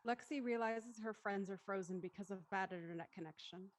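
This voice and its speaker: A woman's voice: medium pitch, a little fast. A woman reading stage directions as scripted narration for a drama.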